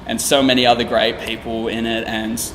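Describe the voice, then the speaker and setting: A man's voice: medium pitch, monotone, a little fast, a bit bright, reverberant, long reverb tail, slight background noise, poor audio. A young man hosting a casual interview for his channel, rambling with lots of fillers and restarts.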